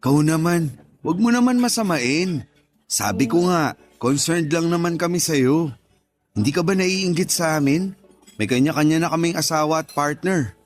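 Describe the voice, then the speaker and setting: Actor as higher-voiced, teasing male buddy. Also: lively intonation, moderate pace, faint music behind, lightly acted. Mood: coaxing, nagging. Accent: Filipino. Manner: joking, nagging, coaxing. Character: teasing, pushy married male best friend in his thirties. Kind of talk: radio drama dialogue.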